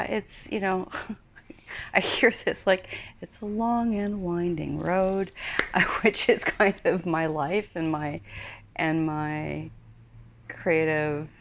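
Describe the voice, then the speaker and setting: A Swiss-born woman in her fifties, an actress answering interview questions in a thoughtful way, often searching for words. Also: deep voice. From Switzerland. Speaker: actress and acting studio co-founder.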